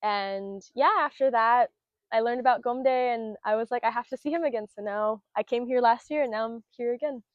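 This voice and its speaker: A woman's voice: fairly high pitch, normal speed, dry recording. Earnest young woman, muffled and dry. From Florida, United States. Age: 20s. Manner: earnest, lots of fillers and laughs, acts out quotes. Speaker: interviewee, Buddhist student. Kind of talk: casual spoken interview.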